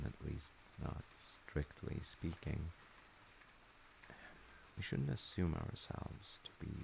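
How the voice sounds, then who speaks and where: A man playing a gentle, thoughtful dad, telling a folktale and chatting reflectively in a measured, intimate way with pauses. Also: extremely low pitch, vocal fry, slight background noise. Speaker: storyteller, audio roleplay voice actor playing a dad. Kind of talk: intimate improvised-sounding bedtime storytelling and chat.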